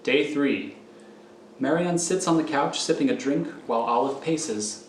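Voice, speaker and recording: A man's voice: on the high side, unhurried, slight background noise. A man narrating screenplay directions in a matter-of-fact, descriptive way. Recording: echoey, prominent music underneath.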